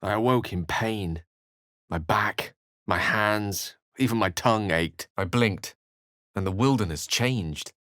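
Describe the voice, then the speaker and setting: A low-voiced male wizard from a fantasy video game. He is learned and wry, phrasing his lines like a measured, theatrical storyteller. The sound is very dry. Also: fairly bright tone, dry recording. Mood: grave narration. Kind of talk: scripted video game character lines and narration.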